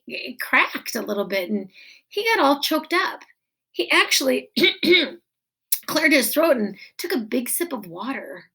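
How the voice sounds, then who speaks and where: Slow, high-voiced woman reading a story. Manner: deliberate, expressive, with weighted pauses. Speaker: teacher reading a book aloud. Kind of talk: read-aloud storytelling of a children's novel.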